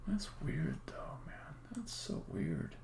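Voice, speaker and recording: A game developer live-streaming a coding session, thinking aloud and muttering to themselves in halting phrases. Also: medium pitch, huge pitch swings, creaky, darkish tone, whispered. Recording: faint background noise, keyboard typing. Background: computer keyboard typing and clicking.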